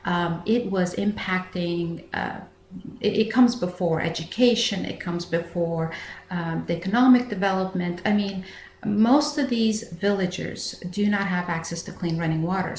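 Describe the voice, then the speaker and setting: Middle-aged woman in an echoey room. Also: mid-range voice. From Afghanistan. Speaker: founder of a charitable bottled water company, interview guest. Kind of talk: interview answers. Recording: echoey room, faint background noise.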